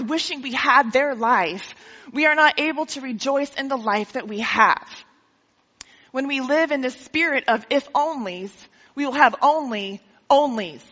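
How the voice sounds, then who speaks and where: Woman pastor joking around mid-sermon, bright voice kinda high, dull lo-fi church recording. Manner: joking, teasing, laughs often. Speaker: preacher. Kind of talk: sermon with playful jokes. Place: church sanctuary. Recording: lo-fi hall recording with long reverb.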